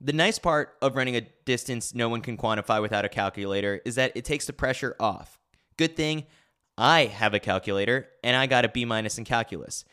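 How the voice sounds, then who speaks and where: A man narrates a scripted sports newsletter. His voice is bright and forward, with a smooth, crisp tone. The delivery is wry and clearly enunciated. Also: mid-range voice, a little fast. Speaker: host reading a track and field newsletter.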